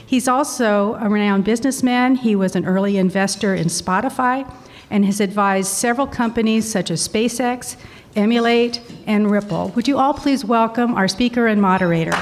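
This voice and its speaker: A woman's voice: medium pitch, normal speed, slight background noise. A middle-aged woman hosting an event, giving a cheerful welcome speech at a podium in a large hall. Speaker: host introducing speakers. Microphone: podium mic.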